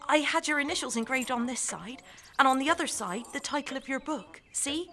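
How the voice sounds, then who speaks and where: A woman's voice: high-pitched, animated, a little fast, bright, forceful tone, full character voice. An actress playing a shy, lovestruck young woman in an audio drama, earnest and hesitant.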